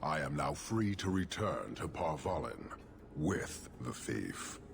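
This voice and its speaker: A towering horned warlord in a game cutscene, his voice extremely deep and gravelly, rolling out slow, formal, commanding words. The pitch swings widely for all its depth, and a layer of noise sits under the game audio. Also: big pitch swings, heavily acted. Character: towering horned warrior leader, stern and proud. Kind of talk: video game cutscene acting.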